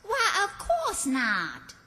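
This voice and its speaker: A woman's voice: very high-pitched, wildly swooping pitch, extremely slow, pushed and bright, heavily acted. A woman playing a courteous young mother in an old-time radio comedy. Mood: brisk, grateful. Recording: reverberant hall stage recording.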